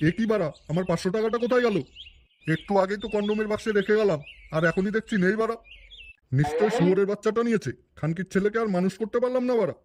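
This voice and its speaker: Man playing cartoon blustering man, high voice, rapid delivery, bossy, crude. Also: a little mellow, close to an everyday voice. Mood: irritated. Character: pompous lecherous fake holy man, later grumbling foul-mouthed father. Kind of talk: comic cartoon dubbing.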